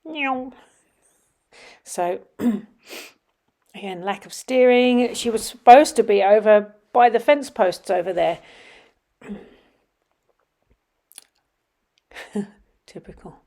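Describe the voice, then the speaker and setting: A woman's voice: medium pitch, drawn-out words, vocal fry, long pauses. Woman, middle-aged, sniffly, casual voice-over, rambling with ums. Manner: chatty, many ums, drops to quiet murmurs while watching. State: sniffly with a runny nose. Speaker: vlogger. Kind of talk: casual voice-over commentary on riding videos.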